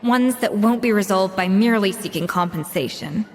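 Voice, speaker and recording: A woman's voice: mid-range voice, moderate pace, big-room sound, close to an everyday voice. An actress voicing a confident, proud female master pastry chef who mentors her former staff. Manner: self-assured, mentoring. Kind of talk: video game voice acting.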